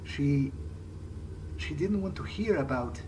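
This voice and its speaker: A man speaking in character through a game playthrough, halting and often repeating himself. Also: a little high, lively intonation, noisy background. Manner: hesitant, halting, repeats words. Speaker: role-playing gamer. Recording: loud music behind.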